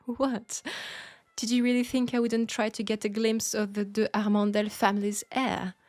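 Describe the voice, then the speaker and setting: A woman playing a flirtatious, caring Parisian seamstress in an intimate steampunk audio roleplay, speaking with a French accent and a soft, hesitant delivery. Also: fairly high pitch, level tone, a little slow, no echo, faint music behind, close to an everyday voice. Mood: playful, teasing. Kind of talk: intimate scripted audio roleplay addressed to the listener.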